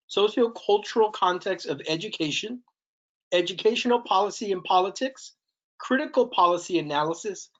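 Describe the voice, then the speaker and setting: A young man hosting a podcast interview, reading a formal scripted introduction and asking questions carefully. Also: sits high. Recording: dull lo-fi audio.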